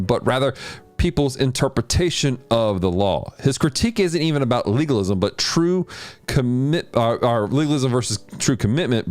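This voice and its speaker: A man hosting a livestream of video games and Bible study, chatting casually with viewers and teaching in an animated way. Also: no room sound. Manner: animated, jumps in pitch when greeting chat. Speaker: host of a gaming and Bible study stream.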